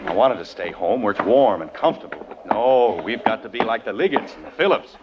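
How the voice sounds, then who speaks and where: A man's voice: fairly low pitch, lively intonation, a little fast, lo-fi sound, lightly acted. An actor playing a grumbling, sarcastic middle-aged family father in a 1940s radio comedy. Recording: scratchy 1940s radio broadcast.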